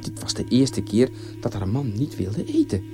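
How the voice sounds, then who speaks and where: A man's voice: mid-range voice, huge pitch swings, normal speed, music in the background. A man telling a story as a narrator, reading scripted narration.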